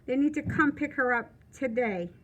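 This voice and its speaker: A woman reading the part of an apologetic but firm male school headmaster in a storybook, formal and brisk. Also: high voice, lively intonation. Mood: formal, regretful.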